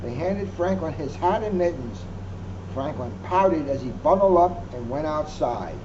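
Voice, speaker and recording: A police officer reading a children's picture book aloud, adding wry asides. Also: male voice, fairly high pitch, expressive, a little slow. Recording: muffled lo-fi recording with faint hum.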